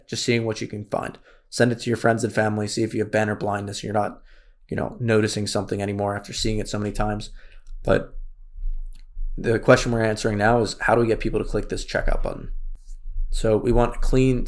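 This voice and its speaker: A man with a raspy voice, losing it, casually walking through an online store tutorial with many fillers. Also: lowish voice. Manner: casual, thinks aloud with fillers. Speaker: course instructor. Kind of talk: screen-share tutorial walkthrough.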